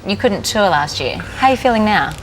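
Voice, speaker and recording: A woman's voice: medium pitch, lively intonation, brisk, a little hiss or hum, rough recording. A woman with an Australian accent hosting a morning TV show, reading links and interviewing guests. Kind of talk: lively television presenting and interviewing. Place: TV studio.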